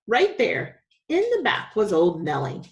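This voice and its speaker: Lady reading a kids book out loud, super expressive, voice goes all over the place. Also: mid-range voice, a little slow, gravelly, highs cut off. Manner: animated, dramatic delivery for children. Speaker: teacher reading a children's book aloud. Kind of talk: expressive read-aloud storytelling.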